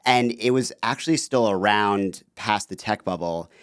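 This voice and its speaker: A man's voice: lowish voice, normal speed, fairly bright tone, smooth, no echo, studio quality. A man in his thirties, a podcast guest telling stories in a chatty, casual way, with lots of "like" and "you know". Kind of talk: casual interview chat.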